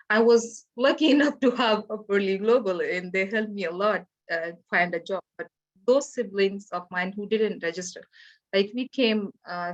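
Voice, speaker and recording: A woman from Afghanistan with an Afghan accent, telling her own story as a panelist in halting, simply phrased English. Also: medium pitch. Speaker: panelist sharing personal experience. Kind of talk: personal account on a virtual panel. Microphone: video call. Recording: dull, lo-fi online call audio.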